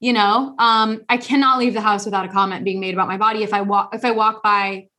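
A woman's voice: mid-range voice, fast. A young woman co-hosting a casual podcast chat, telling a long story with lots of restarts and fillers. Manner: rambling, animated storytelling with many restarts. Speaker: podcast co-host.

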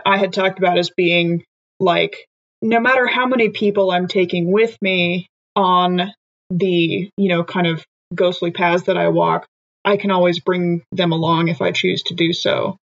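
A woman playing a tabletop game, thinking aloud as she weighs her options. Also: lowish voice. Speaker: player. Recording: slightly dull lo-fi mic.

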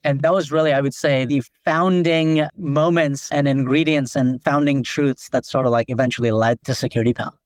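A man's voice: medium pitch, steady tone, normal speed, hardly any pauses, no room sound, clean studio sound. A man from Nepal, a company founder, explaining things in a podcast interview with lots of "like" fillers. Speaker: company founder and CEO, guest.

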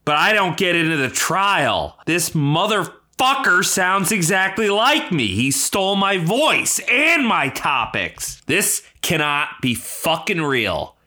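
A man's voice: a little high, very animated, unhurried, hardly any pauses, pushed and bright, exaggerated voice. A man playing an indignant, paranoid podcast host, ranting profanely and melodramatically in a scripted comedy podcast. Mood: outraged disbelief. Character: indignant, paranoid male podcast host, foul-mouthed and exasperated.

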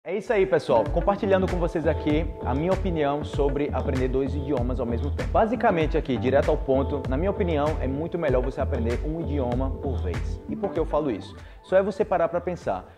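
A man's voice: on the high side, lively intonation, fast, few pauses, music in the background. A young man with a Brazilian Portuguese accent, a language teacher giving direct, persuasive advice and repeating words for emphasis. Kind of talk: casual advice video monologue.

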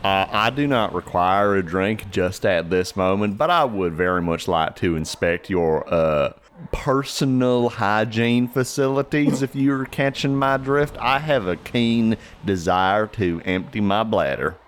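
A man's voice: lowish voice, a little slow, slight background noise. A man playing a tabletop game, speaking for his character in a polite, wordy, mock-formal manner. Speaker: player. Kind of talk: tabletop role-play, formal in-character speech.

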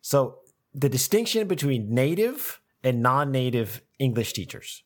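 A man's voice: mid-range voice, sing-song, a little slow. A man, an English teacher, talking through ideas in a casual academic conversation and often repeating words as he thinks aloud.

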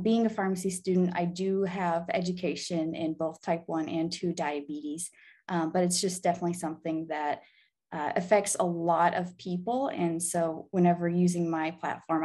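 A woman's voice: on the low side, steady tone, normal speed, darkish tone. A woman in her twenties with an American accent, giving upbeat, earnest conversational answers as an interview guest. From Arkansas, United States. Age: 20s. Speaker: guest, pharmacy student and pageant titleholder.

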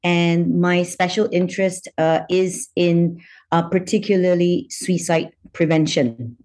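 A middle-aged woman from Malaysia speaks in an unhurried, deliberate way. Her voice sits a little low, and she thinks aloud with soft ums and pauses. She is a psychiatrist answering interview questions. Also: fairly even intonation. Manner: measured, thoughtful, frequent ums. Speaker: psychiatrist guest.

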